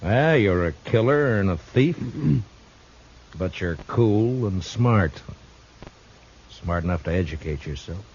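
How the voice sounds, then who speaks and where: A man acting a calm, shrewd Old West marshal in a western radio drama, speaking in a dry, measured way. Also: deep voice, full character voice. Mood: stern, probing. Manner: dry, measured, unhurried questioning. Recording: dull, noisy old radio recording.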